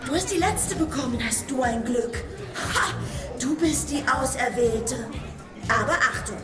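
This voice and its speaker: A busy restaurant crowd and music sit behind an eager alien counter worker. The voice is high and gushes like a starstruck fan. It is children's radio drama with a playful creature feel. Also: female voice, animated, unhurried, reverberant. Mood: starstruck, thrilled. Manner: gushing, eager. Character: excitable many-armed alien burger clerk, starstruck fan. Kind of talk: children's radio play acting.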